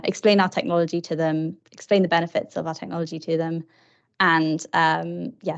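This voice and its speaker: A woman in her twenties talks hesitantly and fills pauses with many ums. Her voice is low and flat, with a gravelly edge. The line is dull and lo-fi. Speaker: panel guest, customer solutions manager. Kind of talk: casual panel talk. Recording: dull lo-fi sound.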